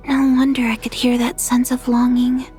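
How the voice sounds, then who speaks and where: A woman playing a sweet, frail young girl in a video game dub, with a soft, breathy voice and a shy, hesitant, tender way of talking. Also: a little high, little pitch movement, moderate pace, faint music behind, natural voice. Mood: tender, hushed.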